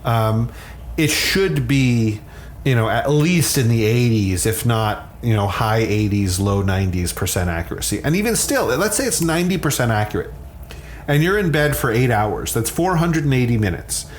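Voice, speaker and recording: A man's voice: medium pitch, lively intonation, normal speed, a little hiss or hum. A man explaining science conversationally as an expert guest, candid, often repeating words as he starts a thought.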